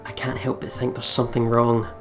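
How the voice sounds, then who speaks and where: A man's voice: mid-range voice, completely flat delivery, fairly quick, over music. A young man hosting a let's play, reading visual novel narration aloud with dramatic delivery. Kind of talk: dramatic reading of game text.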